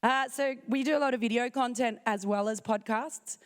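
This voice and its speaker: A woman with a croaky, failing voice giving a chatty, self-joking conference talk. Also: on the high side, moderate pace. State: croaky, losing her voice. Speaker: conference speaker. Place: conference hall.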